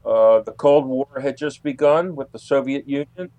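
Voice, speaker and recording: A man explaining things as an interview guest, in a halting way that often repeats words. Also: mid-range voice, dark tone, no room sound. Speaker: guest, UFO researcher and author.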